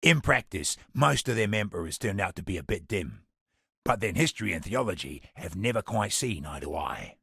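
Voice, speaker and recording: An actor playing a sardonic, roguish antiquities trader in a fantasy video game, with a gravelly, husky British voice and a wry, colloquial way of talking. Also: male voice, on the low side, fairly bright tone, dry recording, clean studio sound, full character voice. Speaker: game non-player character merchant. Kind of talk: theatrical video game character dialogue.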